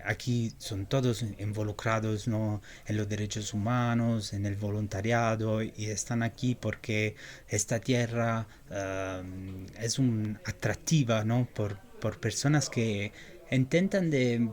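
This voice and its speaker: A young Italian man with an Italian accent speaking non-native Spanish in an interview, pausing often and repeating words as he searches for them. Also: fairly low pitch, steady tone, darkish tone. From Italy. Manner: halting, many repeated words and fillers. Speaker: interview guest, graduate researcher. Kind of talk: casual interview answers.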